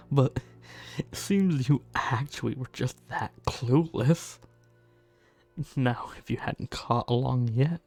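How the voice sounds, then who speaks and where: A man playing a smug, condescending vampire who teases his prey with quiet menace in an ASMR roleplay. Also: medium pitch. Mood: smug, taunting. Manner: condescending, slow, teasing, menacing. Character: smug, teasing male vampire stalker toying with his hunter. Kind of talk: ASMR roleplay, acted monologue.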